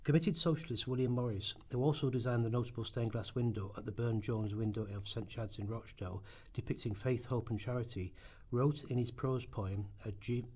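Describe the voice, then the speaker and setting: A man's voice: mid-range voice, steady tone, brisk, a little mellow. A man narrating a scripted historical radio play in a formal, earnest manner.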